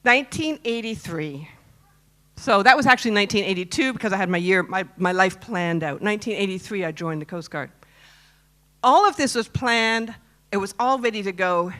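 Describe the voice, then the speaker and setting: A woman in her fifties from Canada speaks on a conference stage. She tells a personal story in a chatty way and keeps asking the crowd questions. Her voice sits at an ordinary pitch for a woman. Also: a bit bright. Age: 50s. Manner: chatty, asks the audience questions. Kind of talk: personal story talk to a live audience.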